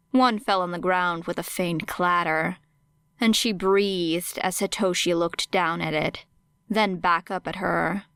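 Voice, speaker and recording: Slow-paced woman narrating dramatic fiction. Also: lowish voice, gravelly, a bit bright, clean tone, no room sound. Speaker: audiobook narrator.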